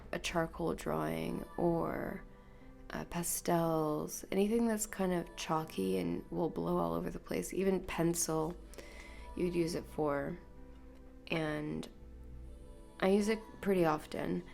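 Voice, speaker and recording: A woman hosting a solo podcast, rambling softly and half-whispering, her voice nasal and congested from illness. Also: fairly low pitch, gravelly. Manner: soft, half-whispered, meandering. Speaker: podcast host. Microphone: podcast microphone.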